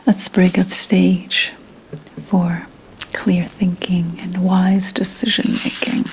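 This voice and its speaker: A woman playing a shrewd, kindly 17th-century witch in a hushed, deliberate, mostly whispered ASMR roleplay. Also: on the low side, level tone, slight background noise, rough recording. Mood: solemn, soothing.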